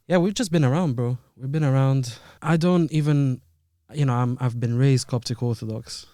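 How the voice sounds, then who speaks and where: A man's voice: medium pitch, dark tone, no echo, studio quality. A man from Italy, a podcast guest, chatting casually and laughing often, dropping "man" and "bro.". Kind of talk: casual chat.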